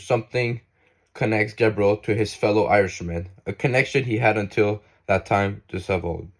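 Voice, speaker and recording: A man reading an essay aloud, halting now and then to restart words. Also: lowish voice, darkish tone.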